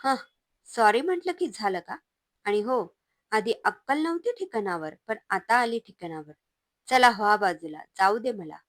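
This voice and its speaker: A woman's voice: medium pitch, big pitch swings, moderate pace, a bit bright, no room sound, clean studio sound. Woman playing a defiant hurt wife. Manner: firm, defiant, raised voice. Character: hurt but resolute young wife and mother.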